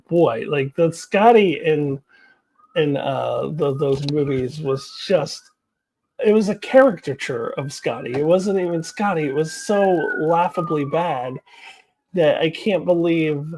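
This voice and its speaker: A woman's voice: low-pitched, darkish tone. A woman giving casual, unscripted commentary as a video host, speaking in an emphatic, opinionated way.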